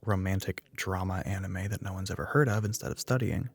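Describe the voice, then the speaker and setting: A man playing a smug, teasing young telepathic classmate in a soft-spoken ASMR roleplay, dry and matter-of-fact. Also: deep voice, brisk, gravelly, slightly rough, heavily acted. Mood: persuasive, teasing.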